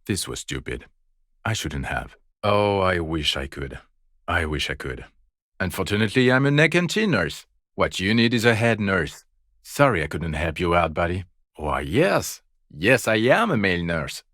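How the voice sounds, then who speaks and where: A man's voice: deep voice, big pitch swings, moderate pace, a bit bright, no room sound. A man voicing a jaded, foul-mouthed police detective in a video game, with dry, biting sarcasm and exasperation. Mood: sardonic. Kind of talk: video game character voice acting.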